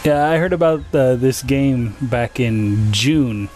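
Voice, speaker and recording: A man's voice: mid-range voice, steady tone, slow, a little mellow. Casual male game streamer, music behind. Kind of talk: casual gaming livestream chat.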